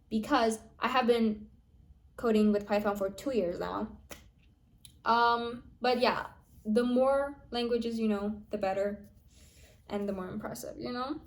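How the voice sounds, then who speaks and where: A nineteen-year-old woman vlogging in a casual, chatty way, full of fillers and restarts. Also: mid-range voice. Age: young adult. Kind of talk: casual planning vlog.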